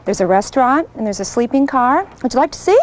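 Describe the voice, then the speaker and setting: A helpful American train crew chief explains things to children in a high voice with lively intonation. Clear train noise runs behind her. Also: fast talker. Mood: helpful, instructive. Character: helpful female chief of train onboard services. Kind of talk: scripted children's educational film.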